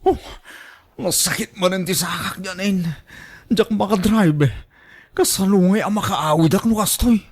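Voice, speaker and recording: Man acting a husky, whining, reluctant married lover. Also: high-pitched, normal speed, long pauses. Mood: complaining. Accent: Ilocano Filipino. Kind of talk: radio drama acting.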